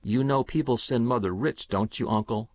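A man's voice: mid-range voice, normal speed, no echo, muffled recording, close to an everyday voice. A man reading aloud, voicing an eager, anxious young boy who is secretive and intense. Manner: earnest, pleading.

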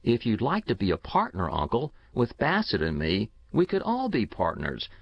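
A man voicing a grave, earnest young boy in a storybook reading, polite and quietly insistent. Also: on the low side, huge pitch swings, moderate pace, no echo, muffled recording, full character voice. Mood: earnest, sure.

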